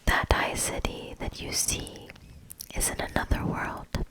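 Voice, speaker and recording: A woman's voice: a little slow, close to an everyday voice, rough recording. Woman whispering as a wise old storybook hermit. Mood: solemn, guiding. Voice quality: breathy whisper. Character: wise, good old male hermit advising a knight.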